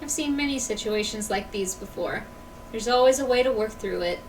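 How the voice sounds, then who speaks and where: A woman's voice: on the high side, animated, moderate pace. A woman streaming a game, reading dialogue aloud on a livestream. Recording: slightly distant, faint background noise.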